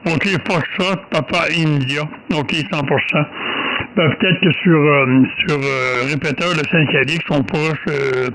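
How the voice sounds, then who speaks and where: Québécois male radio amateur, mid-high voice, light static, casual and chatty. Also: unhurried. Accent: Québécois French. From Quebec. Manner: relaxed, rambling with many fillers. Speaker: ham radio operator. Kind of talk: casual radio contact chat.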